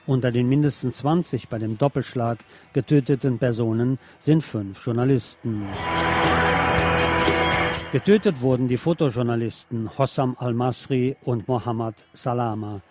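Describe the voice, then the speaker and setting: A man's voice: mid-range voice, steady tone, moderate pace, dark tone, soft background music. A man reading scripted political news and commentary as a radio presenter.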